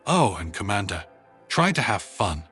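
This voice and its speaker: An insect-like alien admiral from a sci-fi audio story, voiced by a male narrator, formal and slyly amused. The voice is husky and swings in pitch as it teases, with music underneath. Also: sing-song, moderate pace, fairly bright tone, exaggerated voice.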